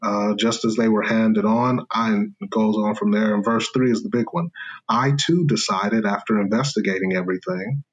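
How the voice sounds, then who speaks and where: A man's voice: fairly low pitch, normal speed, husky, muffled recording. A man speaking as a scholar guest in an interview, explaining carefully in a lecturing way with fillers and restarts.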